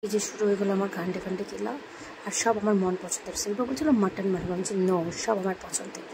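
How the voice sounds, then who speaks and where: A woman's voice: mid-range voice, fairly even intonation, fast. A woman narrating a casual vlog in a chatty, playful, slangy way. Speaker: vlogger. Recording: clearly noisy recording with background ambience.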